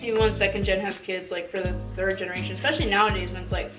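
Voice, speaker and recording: Young woman chatting at dinner, rapid, lots of "like", music playing behind. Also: medium pitch, steady tone. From California. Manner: fast, filler-heavy explaining. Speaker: host. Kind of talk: casual chat over dinner. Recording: roomy, mic set off at a distance, music under.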